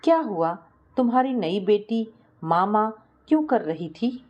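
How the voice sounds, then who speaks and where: A woman's voice: medium pitch, big pitch swings, a little slow, lo-fi sound. A woman storyteller voicing a good-humoured middle-aged husband with a playful, teasing manner.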